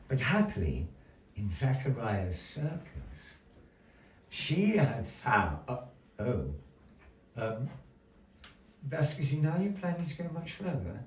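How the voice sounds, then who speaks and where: Slow, swooping theatrical male showman in a roomy venue. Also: on the low side. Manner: mock-flustered, polite, halting, showman-like. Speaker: master of ceremonies. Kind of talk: theatrical live show patter. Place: indoor gallery performance space. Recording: roomy live venue, distant mic, faint noise.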